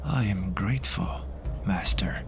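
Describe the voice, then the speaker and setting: A man playing a cold, reserved disciple in a fantasy audio drama, speaking deliberately and formally in a hushed, breathy voice. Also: low-pitched, over music. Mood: subdued, humble. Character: Mido, menacing, humble, cold male disciple.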